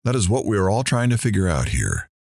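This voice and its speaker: A man's voice: lowish voice, wildly swooping pitch, quick pace, gravelly, a little mellow, no echo, full character voice. A man playing a kindly, courteous studio host in an audio drama, speaking encouragingly. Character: kindly, appreciative male studio show host. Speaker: show host. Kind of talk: audio drama acting as a presenter.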